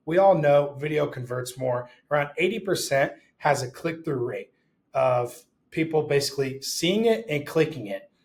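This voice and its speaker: A man presenting a casual, explanatory tutorial as an instructor, posing short rhetorical questions as he goes. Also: fairly high pitch.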